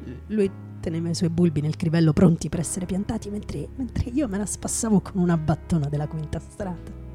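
Low-voiced bitter down-and-out poet, played by a woman. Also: dark tone, close to an everyday voice. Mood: bitter, wry. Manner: halting, dramatic, repeats words, drops into whispers. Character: hard-drinking down-and-out male poet, bitter, sardonic, grieving. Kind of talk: theatrical monologue reading of poetry, radio theatre. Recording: music behind the voice.

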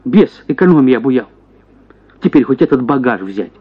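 An actor voicing a henpecked prosecutor, a self-pitying husband who grumbles and exclaims theatrically in a radio story. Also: male voice, medium pitch, normal speed, breathy edge, phone-quality sound. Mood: exasperated complaining. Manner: plaintive grumbling that bursts into excited exclamations.

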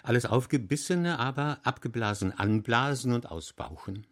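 An actor in an experimental radio play playing a solemn reciter of absurd word lists with measured diction. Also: male voice, medium pitch, natural voice.

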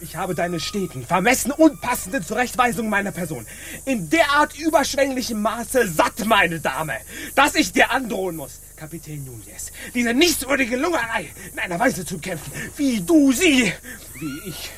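An actor playing a pedantic, self-important pirate in a radio play, speaking in stilted, over-precise, affronted sentences. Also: male voice, extremely high pitch, huge pitch swings, normal speed, fairly bright tone, slight background noise, full character voice. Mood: indignant. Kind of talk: stage-like radio play acting.

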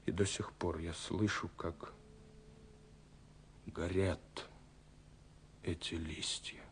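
On an old, slightly hissy radio recording, a romantic young man voicing his letters. His voice is low and very slow, wistful and brooding. The delivery is literary and theatrical, swelling from hush to passion. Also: fairly even intonation, exaggerated voice. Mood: mournful. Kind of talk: literary radio play monologue, dramatic letter reading.

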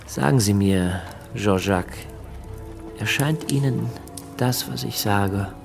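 An actor in a German radio drama playing a principled, suspicious revolutionary politician who speaks deliberately and emphatically. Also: male voice, lowish voice, creaky, music in the background. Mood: tormented, intimate.

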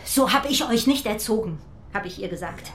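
A woman's voice: mid-range voice, lively intonation, moderate pace, fairly bright tone, a little hiss or hum. An actress playing an exasperated, indignant middle-aged mother in a radio drama, dramatic and reproachful. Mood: reproachful, bitter. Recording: echoey room.

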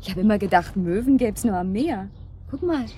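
An actress plays a guarded older sister in a German radio drama, with a mid-pitched voice. She is uneasy and plain-spoken, with a wry edge. Ambient noise sits behind her. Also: animated, fairly quick, warm tone, lightly acted. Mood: wry, pensive. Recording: clearly noisy ambient background.

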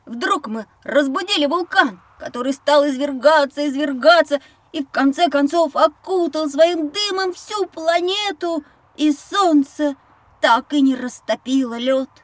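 A woman's voice: sits high, unhurried, no room sound. A woman voicing a curious, talkative young boy, eager and inquisitive, in a children's educational audio drama. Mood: wondering, worried.

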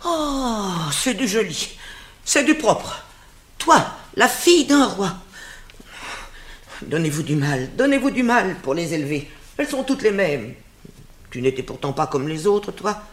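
An actress voicing an old royal nurse who scolds and dotes on her charge, in a stage drama. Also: measured pace. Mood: scolding. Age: older. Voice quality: lively scolding voice, swinging high and low.

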